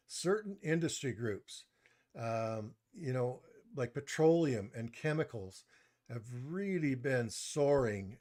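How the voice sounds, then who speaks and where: A soft-spoken man giving a live online stock market review into a desktop mic, measured, with frequent pauses and restarts. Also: mid-range voice. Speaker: presenter of a stock market webinar. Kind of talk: live online presentation, reading chat comments.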